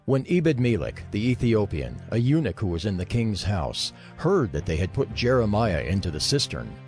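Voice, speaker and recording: Deep-voiced male scripture narrator. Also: big pitch swings, normal speed, a little mellow, soft background music.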